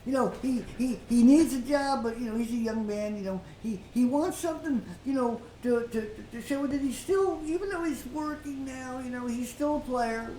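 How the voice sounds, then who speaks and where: A slightly high-pitched older woman preaches in an informal, storytelling way. She is folksy and halting, often repeating words and pausing as she goes. The recording is roomy and a little distant from the mic, with faint noise. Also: dark tone. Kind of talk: informal Bible teaching with storytelling.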